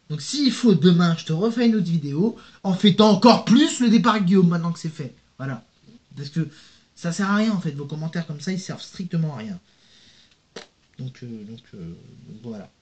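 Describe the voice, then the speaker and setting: Young male YouTuber, higher-pitched, slangy casual rant to camera, full of fillers and restarts. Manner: animated, slangy, addresses viewer with "tu vois" and "gros"; reads out hostile comments, many fillers and restarts.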